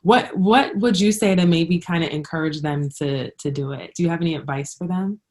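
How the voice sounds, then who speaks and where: Woman host in her 20s, fairly low voice, upbeat and encouraging interviewer. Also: brisk. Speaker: host, life coach. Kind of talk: casual interview chat.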